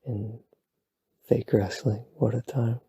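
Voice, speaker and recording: Breathy whispering man, gravelly. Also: medium pitch, fairly even intonation, takes it slowly, no echo. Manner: soft, rambling, self-deprecating, playful. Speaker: ASMR boyfriend roleplay creator. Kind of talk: whispered sleep-aid rambling. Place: home at night.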